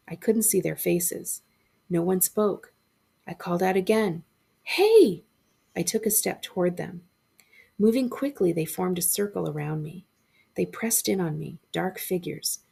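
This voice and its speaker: A woman librarian reading a spooky story aloud with expressive narration. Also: lowish voice, creaky, slightly rough.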